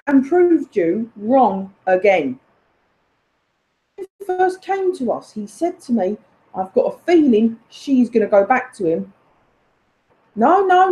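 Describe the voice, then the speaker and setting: A woman's voice: high-pitched, a little mellow, poor audio. A woman delivering an indignant, emphatic personal rant, addressed accusingly to someone who is absent.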